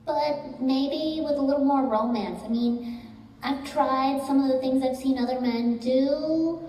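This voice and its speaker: A woman's voice: sits high, a little slow, mellow. A woman voicing a shy, earnest little male springtail, a polite and slightly hesitant caller in a comic puppet show. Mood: earnest, sheepish. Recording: roomy, distant live hall with crowd noise.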